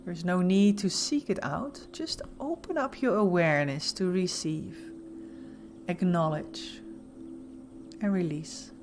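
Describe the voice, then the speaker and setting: Woman, meditation instructor, soothing and soft-spoken, unhurried pace with pauses, mid-pitched, faint background music. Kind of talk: guided meditation narration.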